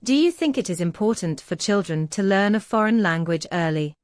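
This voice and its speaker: A woman playing the examiner, reading neutral, clearly worded speaking test questions. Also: mid-range voice, lively intonation, fairly quick, a little mellow, no room sound, studio quality.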